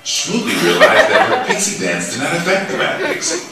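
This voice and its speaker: Guy narrating a play like a storybook, super expressive, echoey stage, recorded far off. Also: sits high, a little fast, loud music behind the voice. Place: small theatre stage, music behind.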